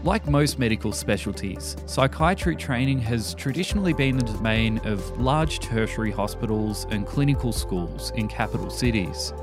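A man, narrating and hosting a medical podcast in a measured scripted read. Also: medium pitch, expressive, over music.

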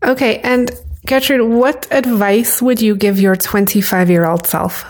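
A woman's voice: fairly high pitch, steady tone, unhurried. A woman hosting a podcast, asking interview questions and reading announcements in an upbeat way. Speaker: podcast host. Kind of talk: interview and sponsor reads.

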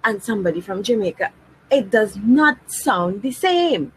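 A woman telling anecdotes in a relaxed way during casual podcast chat. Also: medium pitch, moderate pace, husky, low-quality recording. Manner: conversational, anecdotal.

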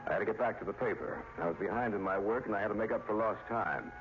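Low-voiced earnest newspaperman played by an actor. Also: quick pace, music in the background, close to an everyday voice. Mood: narrating. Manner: earnest, straightforward. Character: earnest small-town newspaperman telling a mystery. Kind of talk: 1940s radio drama acting and first-person narration. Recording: dull, very noisy old radio recording.

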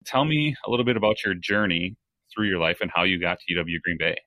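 A man hosting a podcast, reading a scripted intro and then asking long, measured interview questions. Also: low voice, expressive, sharp tone, soft background music, poor audio. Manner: measured, asks long multi-part questions. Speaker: podcast host.

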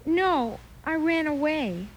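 A woman's voice: sits high, animated, slow. A woman playing a prim, earnest little runaway girl in a 1950s Western radio drama. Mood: anxious. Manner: prim, childlike, literal-minded. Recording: hissy old-time radio recording.

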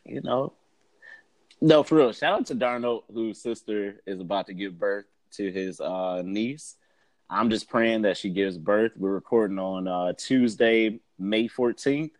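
A man's voice: on the low side, unhurried, no room sound. A young man co-hosting a casual podcast, playful and slangy, with a sing-song manner. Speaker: podcast co-host.

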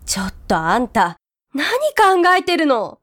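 A woman plays a strict, anxious mother in a voice drama. Her high voice moves up and down a lot, with blunt, resigned everyday phrasing. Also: wildly swooping pitch, slow pace, a bit bright, exaggerated voice. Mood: scolding, alarmed. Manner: scolding, blunt everyday speech. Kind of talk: scripted voice drama acting.

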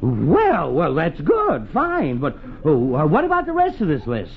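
Blustery penny-pinching American father, 1940s radio comedy; highly animated delivery, thin tinny broadcast sound. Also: a little slow, breathy edge, heavily acted. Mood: insistent. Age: middle-aged. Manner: blustering, pompous, sputtering. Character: blustery, exasperated, penny-pinching middle-aged suburban father.

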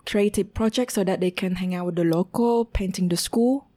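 Guest, woman in her 30s, soft dark tone, unhurried and searching for words. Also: medium pitch. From Vietnam. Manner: unhurried, pausing to find words, with fillers. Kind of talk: telling her life story in an interview.

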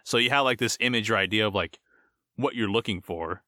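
Upbeat man, low and bright voice. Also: fairly quick, no echo, clean studio sound. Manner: self-deprecating, upbeat. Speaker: podcast co-host, voice actor. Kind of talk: casual chat.

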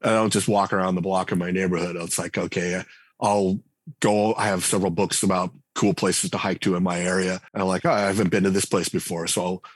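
A man, a game writer and designer, chatting casually as an interview guest, relaxed and full of fillers and restarts. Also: deep voice, fairly quick, breathy edge, no echo. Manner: relaxed, thinks aloud with many fillers and restarts.

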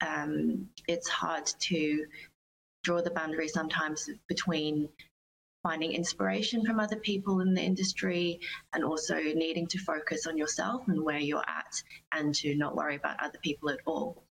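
A woman's voice: low-pitched, steady tone, normal speed, gravelly, a little hiss or hum, low-quality recording. A woman giving reflective, earnest interview answers as a guest musician, phrasing her thoughts carefully. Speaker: guest, singer-songwriter.

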